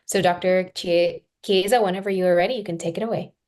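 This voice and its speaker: A woman's voice: lowish voice, fairly even intonation, fast talker, darkish tone. A young woman, a student host, reading a prepared introduction of a guest speaker with a few small slips. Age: young adult.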